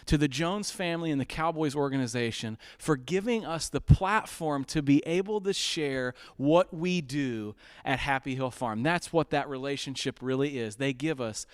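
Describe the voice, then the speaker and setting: A man's voice: medium pitch, moderate pace, hardly any pauses, clear, resonant tone, no echo. A man hosting a charity telethon, making earnest, upbeat appeals for donations with frequent uhs and restarts. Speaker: fundraiser host.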